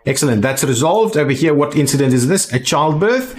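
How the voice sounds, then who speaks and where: A man giving casual video game commentary, thinking aloud in a halting way as he plays. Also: on the high side, animated, darkish tone. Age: adult. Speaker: gaming video host.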